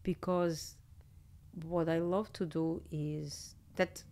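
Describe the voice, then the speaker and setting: Serbian-accented woman, 30s, low gravelly voice, candid and animated podcast guest, close studio mic. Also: takes it slowly, a little hiss or hum. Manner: candid, animated, laughs often. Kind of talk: casual interview chat. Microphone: studio mic on boom arm, headphones.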